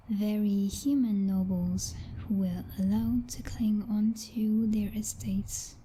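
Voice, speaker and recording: A woman's voice: medium pitch, dark tone, rough recording. Woman playing a whispering, possessive vampire knight. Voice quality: breathy, whispery. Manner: menacing, condescending, deliberate, teasingly formal. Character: possessive, jealous female vampire knight, coldly domineering. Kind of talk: ASMR roleplay, whispered scripted acting.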